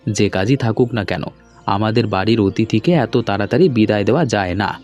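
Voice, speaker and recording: A man's voice: fairly low pitch, a little fast, darkish tone, muffled recording, lightly acted. A man playing a hospitable, insistent young husband in a cartoon, with a hearty conversational manner. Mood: welcoming, insistent. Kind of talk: cartoon dialogue acting. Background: background music.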